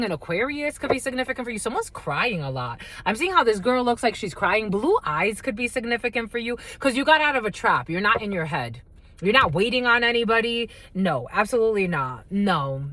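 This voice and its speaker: Lively, blunt-talking woman tarot reader. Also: mid-range voice. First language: Spanish. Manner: blunt and profane, affectionate, calls viewers "my lovies". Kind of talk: casual collective tarot reading.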